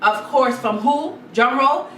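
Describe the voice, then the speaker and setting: A young Guyanese woman talks through a sit-down vlog in a chatty, animated way, and her voice sits a little on the high side. She sounds a bit away from the mic in a roomy, echoey space, with a faint hiss underneath. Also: takes it slowly. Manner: animated, chatty, repeats herself, reads quotes aloud. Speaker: YouTube vlogger. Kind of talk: casual sit-down advice chat. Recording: roomy echo, slightly distant mic, faint noise.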